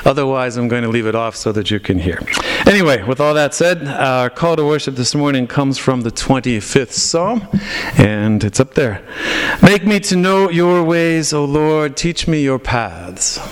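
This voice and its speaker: A retired pastor in his sixties, guest preaching, relaxed and self-deprecating with easy humor. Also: medium pitch, moderate pace, slight background noise. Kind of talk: casual greeting then leading worship. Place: church sanctuary. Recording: long reverb in a church hall.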